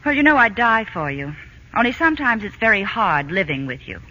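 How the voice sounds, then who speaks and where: An actress playing a brisk, dry, dignified lady judge in a 1940s radio comedy. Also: medium pitch, big pitch swings, sharp tone. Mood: dry, sisterly. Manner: crisp, stern, dry. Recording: muffled old radio recording, faint hiss.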